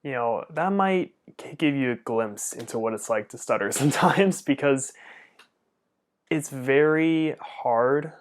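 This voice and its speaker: Young adult male with a frequent stutter; relaxed, conversational delivery, middle pitch. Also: unhurried. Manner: stutters often, with repeated first sounds. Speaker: speech pathologist answering viewer questions. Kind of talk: casual Q&A monologue.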